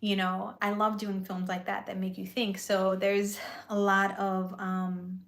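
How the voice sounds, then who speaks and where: A woman's voice: mid-range voice, completely flat delivery, measured pace. Woman chatting live to her viewers, soothing voice, says "like" a ton, sounds kinda echoey. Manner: chatty, full of "like" and "you know". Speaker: vlogger and aspiring actress. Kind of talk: live-stream chat with viewers. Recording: echoey room.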